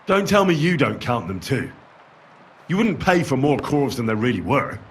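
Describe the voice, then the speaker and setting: An actor playing a young medieval man calmly and firmly questioning suspects in a video game. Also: mid-range voice, moderate pace, slight background noise, lightly acted. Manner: calm, firm interrogating.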